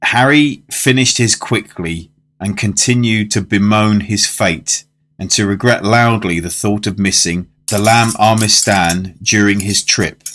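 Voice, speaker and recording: An actor playing an urbane, polite man who narrates a macabre tale in a radio drama, with measured, genteel storytelling. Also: on the low side, a bit bright, a little hiss or hum. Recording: music behind the voice.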